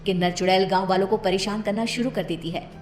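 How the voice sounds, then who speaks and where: A woman's voice: mid-range voice, fairly even intonation, fast, echoey room, faint music behind. A woman reading a scripted cartoon moral story as its narrator.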